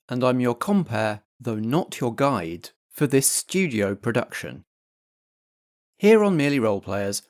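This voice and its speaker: A man giving a scripted, theatrical introduction as compere of a roleplay show. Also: medium pitch. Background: music.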